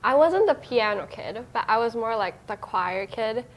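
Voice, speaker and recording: A woman's voice: on the high side, expressive. Young woman guest. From Hong Kong. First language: Cantonese. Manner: thoughtful, halting, many fillers. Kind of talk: casual podcast chat.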